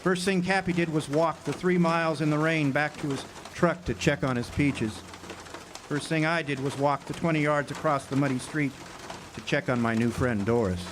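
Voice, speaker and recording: An actor playing a world-weary drifter, narrating a hardboiled radio drama in a wry, hesitant way. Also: male voice, fairly high pitch, moderate pace, lightly acted. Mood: wry, reflective. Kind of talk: noir-style radio drama narration and dialogue. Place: outdoor amphitheater stage. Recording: noisy outdoor live recording with birdsong.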